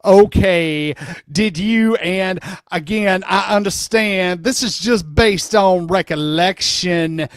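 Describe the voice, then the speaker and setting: A man's voice: high-pitched, slow, smooth, no echo, clean studio sound. A man playing a lawyer questioning a witness in a dramatic reading of a deposition, probing and rephrasing his questions. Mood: pressing.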